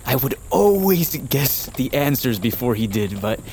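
A man's voice: mid-range voice, big pitch swings, measured pace, slight background noise. An actor playing a shy, earnest young nobleman with a hesitant, stammering delivery in a fantasy audio drama. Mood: wistful, earnest. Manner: hesitant, stammering, soft-hearted.

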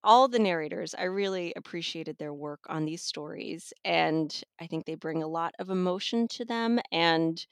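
A woman's voice: lowish voice, dry recording, studio quality. A woman reviewing audiobooks in a casual conversation. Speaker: audiobook reviewer and podcast guest. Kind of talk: casual book discussion.

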